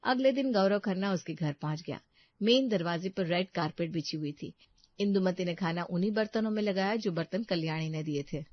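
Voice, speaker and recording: A woman's voice: on the low side, fairly quick, no echo, highs cut off. A woman giving scripted storytelling narration for a moral tale.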